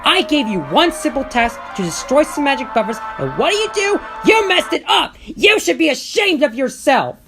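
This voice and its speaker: A woman voicing a furious, bossy male diesel engine villain who yells and scolds. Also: high-pitched, big pitch swings, normal speed, hardly any pauses, music in the background, voice pushed up. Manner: yelling, scolding.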